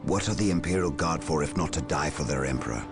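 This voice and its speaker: Low, gravelly, blunt veteran warrior. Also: male voice, lively intonation, fast, music in the background, exaggerated voice. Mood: disapproving. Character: stern, blunt veteran male space marine psychic adviser. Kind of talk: dramatic science-fiction game acting.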